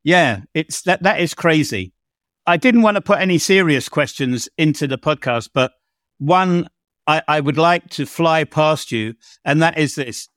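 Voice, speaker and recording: Higher-pitched male podcast host, dry sound. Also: expressive, unhurried, a bit bright, no echo. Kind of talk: conversational interview.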